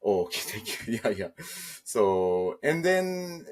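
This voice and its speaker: A man from Saipan speaks in a low voice as a guest in a casual interview. He is modest and a little nervous, feeling his way hesitantly through his sentences. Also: very animated, slow pace, crowd noise. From Saipan, Northern Mariana Islands. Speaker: podcast guest, travel vlogger.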